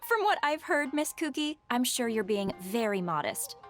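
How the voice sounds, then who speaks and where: A woman voicing a refined, gracious high-ranking official in a video game, polite and formal. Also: sing-song, a little slow, a bit bright, faint music behind. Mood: pleased, gracious.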